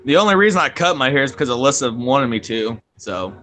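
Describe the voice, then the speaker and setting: A man's voice: mid-range voice, big pitch swings, quick pace, sharp tone, a little hiss or hum. A young man co-hosting a live stream over a video call, chatting casually, joking and swearing freely. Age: young adult. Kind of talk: casual chat.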